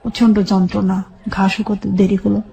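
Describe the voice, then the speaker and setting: A woman's voice: mid-range voice, flat delivery, a little fast, creaky, dark tone. A middle-aged woman with a Bangladeshi accent plainly and sombrely recounting her life step by step in a radio interview. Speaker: guest telling her life story. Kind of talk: personal testimony in a radio interview.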